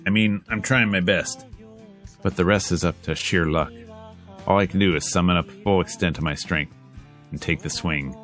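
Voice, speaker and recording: Visual novel hero played by a man: young guy, deep-toned, wry, easily flustered, expressive, music underneath. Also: moderate pace. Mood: determined. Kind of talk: dramatic reading of visual novel narration and dialogue.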